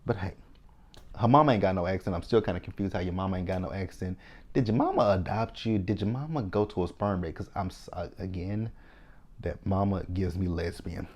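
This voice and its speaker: Sassy low-voiced Texan man recapping TV. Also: brisk, darkish tone. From Texas. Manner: chatty, sassy, opinionated, talking straight to viewers. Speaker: TV recap host. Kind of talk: casual TV episode recap.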